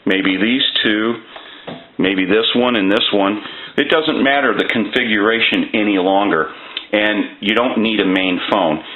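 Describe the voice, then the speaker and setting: A man giving a casual hands-on demonstration as a repair technician, explaining step by step in a plainspoken way. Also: on the low side. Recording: tinny phone-like band, loud noise.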